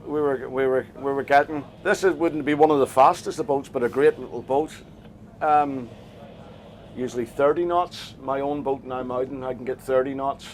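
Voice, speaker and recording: Northern Irish man, skipper, mid-pitched, halting plain-spoken interviewee on a boat. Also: steady tone, a little hiss or hum. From Northern Ireland. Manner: hesitant, plain-spoken, many fillers and restarts. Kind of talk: casual interview answers. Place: on a small boat offshore.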